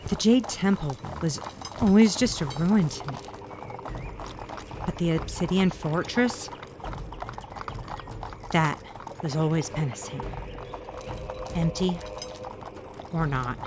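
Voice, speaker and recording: Woman doing gentle lady knight in audio drama, takes her time, thoughtful, noisy ambience behind. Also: low voice, slow pace, pauses often. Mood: sombre, thoughtful. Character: adult female former knight, gentle, thoughtful companion.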